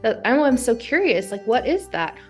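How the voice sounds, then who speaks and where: Animated woman brainstorming over music. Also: on the high side, normal speed. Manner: animated, acts out imagined thoughts and exclamations. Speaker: guest being coached through writer's block. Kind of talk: casual brainstorming chat.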